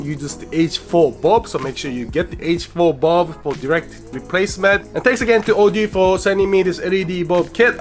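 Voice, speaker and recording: A young man with a stuffy nose from a cold, chatting casually as he explains a motorcycle install step by step in his garage. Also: on the high side. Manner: chatty, explains steps as he works. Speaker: motorcycle vlogger. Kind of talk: casual how-to vlog. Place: home garage.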